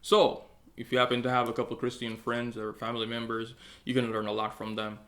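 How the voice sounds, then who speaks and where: Calm low-key man from Jamaica. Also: fairly quick. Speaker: co-host. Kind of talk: casual advice chat.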